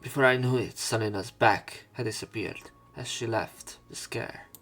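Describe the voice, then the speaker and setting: A man's voice: on the low side, moderate pace, fairly bright tone, faint music behind. A man doing a let's play, reading a visual novel's narration and dialogue aloud.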